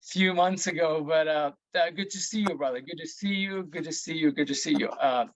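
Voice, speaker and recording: A man in his thirties with a Moroccan accent, giving an informal talk in a halting way that repeats words. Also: a little high, poor audio. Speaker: guest speaker, plant geneticist. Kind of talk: informal talk at an online meeting. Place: online video meeting.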